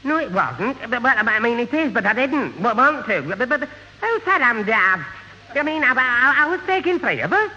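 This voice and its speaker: A man's voice: very high-pitched, sing-song, moderate pace, sharp tone, in a large hall, heavily acted. An actor playing a dim, easily flustered young man in a radio sitcom, stammering and tangling his words. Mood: flustered, confused. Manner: flustered, contradicts himself, repeats words. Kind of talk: 1970 radio sitcom acting. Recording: muffled old radio broadcast, hollow, hissy.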